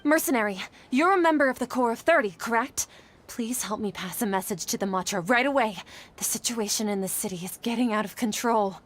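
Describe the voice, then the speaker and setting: A woman voicing an anxious, high-voiced young female scholar. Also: fairly quick, slight background noise, lightly acted. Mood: panicked. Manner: earnest, troubled, formal wording. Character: anxious, guilt-ridden young woman scholar, assistant to a sage. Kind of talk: dramatic video game voice acting.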